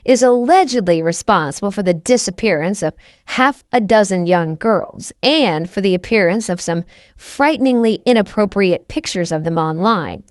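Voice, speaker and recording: A woman narrates an audiobook in the first person, reading scripted prose. Her pitch is mid-range and the recording is dry, with no room sound. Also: lively intonation, moderate pace, no room sound.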